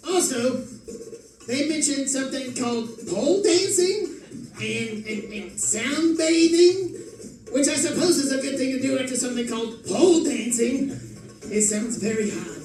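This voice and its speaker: A man playing a bewildered, boastful 1940s private eye in a theatrical, wisecracking old-time radio monologue. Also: very high voice. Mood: boastful, amazed. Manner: melodramatic, wisecracking diary narration. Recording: echoey room, distant microphone.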